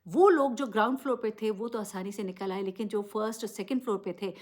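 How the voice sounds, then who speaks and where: A woman's voice: mid-range voice, fairly quick, darkish tone. A woman giving unscripted news commentary, addressing listeners directly and emphatically with rhetorical questions. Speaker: vlogger presenting news commentary.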